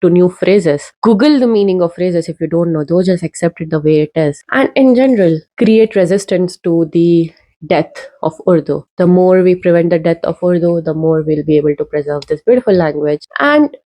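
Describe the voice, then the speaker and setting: A young woman with an Indian English accent makes an earnest, casual video essay to camera, with fillers and pauses as she urges viewers. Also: lowish voice, mellow. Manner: earnest, persuasive, many fillers. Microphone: talking to camera.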